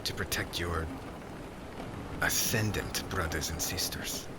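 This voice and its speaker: A man playing a stern, cold witch hunter in an ASMR roleplay, speaking in a solemn, formal, menacing way that often drops to a hush. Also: deep voice, takes it slowly, very noisy. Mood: stern, reproachful. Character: stern male witch hunter captor, cold, grudgingly admiring. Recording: heavy rain and thunder ambience behind. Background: rain and thunder sound effects.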